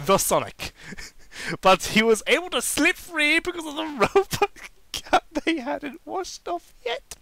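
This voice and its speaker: A man giving a theatrical, halting amateur dramatic reading of comic fan fiction. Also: very high voice, big pitch swings, gravelly, sharp tone, dry recording.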